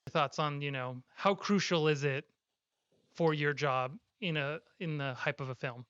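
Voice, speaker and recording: A man's voice: a little high, measured pace, a bit bright, clear, resonant tone. A young man hosting a podcast, upbeat and playful in casual interview chat. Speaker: podcast host. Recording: slightly dull lo-fi sound.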